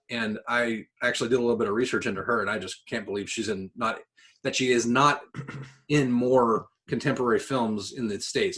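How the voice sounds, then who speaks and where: A man's voice: mid-range voice, fairly bright tone. A man co-hosting a casual podcast, talking enthusiastically and swearing freely. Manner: profane, enthusiastic. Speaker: podcast co-host.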